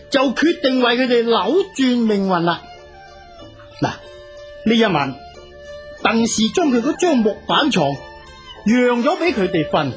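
Expressive woman storyteller. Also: on the high side. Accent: Hong Kong Cantonese. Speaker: narrator. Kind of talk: radio drama storytelling narration. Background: music.

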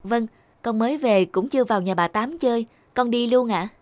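A woman's voice: on the high side, normal speed, natural voice. A young woman playing an earnest grown daughter back from studying abroad in a cartoon. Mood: matter-of-fact. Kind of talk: Vietnamese cartoon dubbing.

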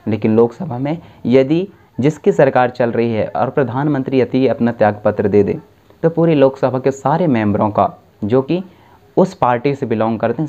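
A man's voice: mid-range voice, dark tone, highs cut off. A young man giving an exam-prep civics lesson to camera, explaining step by step and often correcting himself. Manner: explains slowly with self-corrections and restarts. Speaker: civics tutor. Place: dim indoor room, seated at a desk.

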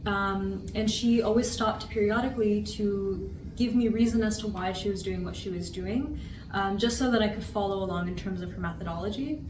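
A woman's voice: mid-range voice, moderate pace, noisy background. Composed young woman, distant roomy sound. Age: 20s. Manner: composed, articulate, fluent. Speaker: TESL practicum student. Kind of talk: interview answers. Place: plain studio room, standing.

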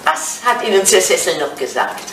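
Elderly milady, curious and dignified, very expressive, retro radio play, roomy noisy recording. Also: on the low side, normal speed, recorded from a distance. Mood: curious, probing. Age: older. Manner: ladylike, inquisitive, theatrical. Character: elderly English lady, curious, dignified old flame. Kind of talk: 1980s German radio drama acting.

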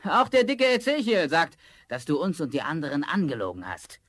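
A man's voice: a little high, very animated, normal speed, fairly bright tone. A man playing a desperate, defiant young charcoal burner in a fairy-tale audio drama, emotional and theatrical. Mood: sly, taunting.

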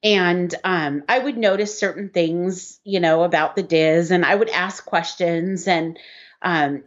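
A middle-aged woman chatting casually over a video call from home, rambling with lots of fillers. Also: lowish voice. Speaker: website content writer. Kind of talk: casual chat. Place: home room. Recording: muffled video call audio.

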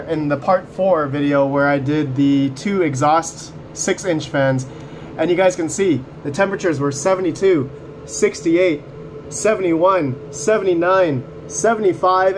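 A man with a slightly raised pitch talks casually to his viewers in an upbeat, chatty way. He is losing his voice as he goes. A faint hiss of noise sits under him. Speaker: mining channel host. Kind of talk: casual hands-on video commentary. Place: condo room with mining rigs.

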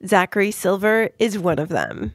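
A woman hosting a kids' science podcast, explaining things clearly and simply in a conversational way. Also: mid-range voice, big pitch swings, moderate pace, soft background music. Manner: clear simple explaining, addressing young listeners. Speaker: podcast host.

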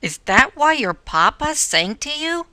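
A woman plays an innocent little boy pumpkin in a children's show. The voice is raised and very bright, childlike and shy. Lines come out earnest and a bit hesitant. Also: high voice, big pitch swings, a little slow, full character voice. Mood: unsure, earnest. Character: small, shy, innocent young male talking pumpkin.

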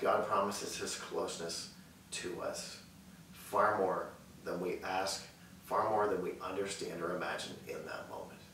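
Earnest pastor in an echoey room. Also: male voice, lowish voice, fairly bright tone, far from the mic. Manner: earnest, measured, with repeated phrases and pauses. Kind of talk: sermon and prayer. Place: living room, seated on a sofa. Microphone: far camera mic. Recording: echoey room audio with faint hiss.

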